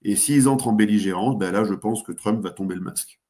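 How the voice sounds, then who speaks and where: A man with a lowish voice talks through political analysis as a studio guest. He hesitates constantly, circling back with fillers and restarts before landing his point. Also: a little fast, warm tone. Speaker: guest commentator. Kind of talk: geopolitical analysis in a talk show.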